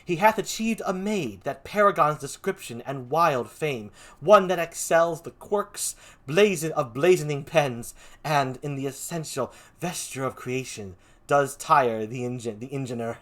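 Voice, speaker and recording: An earnest, courtly lieutenant from a Shakespeare play, put on by an amateur reader. The nobleman voice is affected and theatrical. Its pitch moves in broad, animated swings. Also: a little slow. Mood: admiring, lofty. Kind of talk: theatrical Shakespeare read-aloud.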